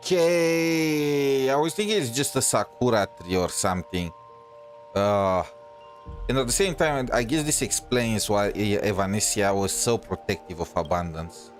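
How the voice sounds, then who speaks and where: A man streams a game and comments casually as it goes. His voice is fairly low, and his talk is slangy, opinionated and rambling. Faint game music plays behind him. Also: unhurried. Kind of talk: casual reaction commentary over gameplay.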